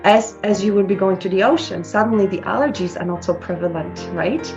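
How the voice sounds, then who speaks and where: A woman explains her work to an interviewer at length, circling back and repeating words as she goes. The audio is a little dull, with faint music running quietly underneath. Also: mid-range voice, a little fast. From Slovakia. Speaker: wellness clinic founder, guest. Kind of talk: interview answers.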